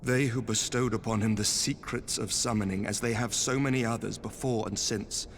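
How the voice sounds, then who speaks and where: An actor playing a stern noble leader in a video game, speaking in an archaic, courtly, oratorical way. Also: male voice, fairly low pitch, fairly even intonation, normal speed, natural voice. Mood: stern resolve. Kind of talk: video game cutscene acting. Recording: long hall reverb, faint background noise.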